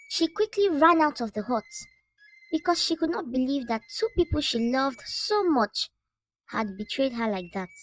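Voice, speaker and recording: A woman narrating a scripted folktale as a storyteller, in a measured, dramatic way. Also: high voice, low-quality recording.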